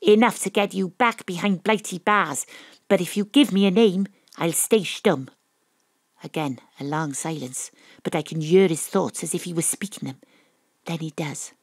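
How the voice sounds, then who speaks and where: A woman's voice: lowish voice, moderate pace, breathy edge, dry recording. A woman playing a determined, wry Welsh private detective, acting and narrating in a noir audio drama. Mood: cool, pressing.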